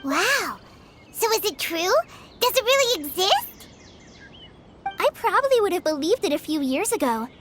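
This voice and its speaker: Timid young ranger girl in a game, high voice, trips on her words, music behind. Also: sing-song, a little slow, pauses often, full character voice. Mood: earnest. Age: young adult. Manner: hesitant, stammering. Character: shy, earnest young woman forest ranger. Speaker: forest ranger. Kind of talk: game voice acting.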